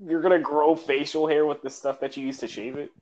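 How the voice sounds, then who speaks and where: A man's voice: a little high, steady tone, fairly quick, a little mellow. Male podcast co-host, casual and giggly, repeats words, muffled lo-fi sound. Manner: laughs often, repeats words when starting sentences. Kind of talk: casual chat. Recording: dull lo-fi audio.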